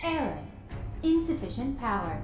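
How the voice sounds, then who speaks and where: A woman's voice: a little high, sing-song, normal speed, over music. A woman voicing an automated facility announcement system in a video game, formal and impersonal. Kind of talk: public-address announcement. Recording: echoing public-address announcement.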